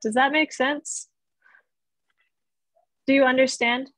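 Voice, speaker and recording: A woman's voice: sits high, fairly even intonation, takes it slowly, fairly bright tone, dry recording. A woman in her thirties giving a casual guest lecture on a video call through earbuds, chatty and laughing easily as she checks in with her listeners. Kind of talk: casual explanatory teaching over video call. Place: small indoor room.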